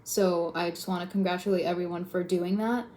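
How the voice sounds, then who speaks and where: A woman's voice: fairly low pitch, fairly even intonation, brisk, a little mellow. Young Spanish-native woman, casual, roomy sound. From moved to the US from abroad. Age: teens, high school student. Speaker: student vlogger. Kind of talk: casual chat to camera. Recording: roomy echo, slightly dull sound.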